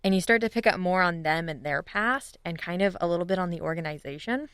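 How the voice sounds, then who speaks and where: Clear, lower-pitched woman chatting casually. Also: expressive, quick pace, a bit bright, no echo, clean studio sound. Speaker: podcast co-host discussing audio drama. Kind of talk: casual chat.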